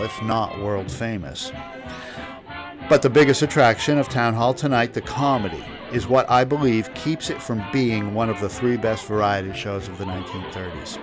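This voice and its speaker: A man giving a scripted, opinionated historical narration as a podcast host. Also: medium pitch. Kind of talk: scripted narration on old-time radio history. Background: music.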